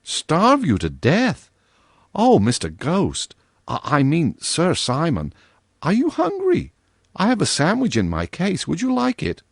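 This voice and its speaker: A man's voice: fairly high pitch, huge pitch swings, measured pace, gravelly, dry recording, clean studio sound, voice pushed up, full character voice. A man voicing an earnest, primly stern young girl in a storybook reading, with a scolding manner.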